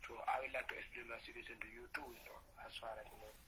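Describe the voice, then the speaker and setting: A man's voice: mid-range voice, flat delivery. A man from Senegal calling into a live audio chat, talking casually and leaning constantly on "you know". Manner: leans on "you know" constantly, soft and halting. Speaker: caller. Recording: noisy phone-line audio.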